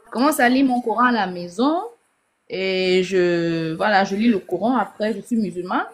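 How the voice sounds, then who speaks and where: A woman's voice: medium pitch, normal speed. A woman asking pointed questions in a casual conversation, listing options as she goes. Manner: inquisitive, lists options while asking.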